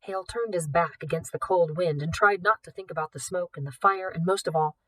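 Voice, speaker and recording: A woman reading a story aloud in an expressive, scripted narration style. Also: lowish voice, fairly quick, no room sound, poor audio. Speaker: audiobook reader, teacher.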